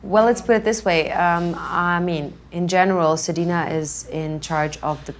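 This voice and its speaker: A woman's voice: lowish voice, moderate pace, slight background noise. A young woman from Serbia, co-founder of a fashion label, talking casually and hesitantly with many fillers. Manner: relaxed, hesitant with frequent fillers. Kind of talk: casual conversational interview.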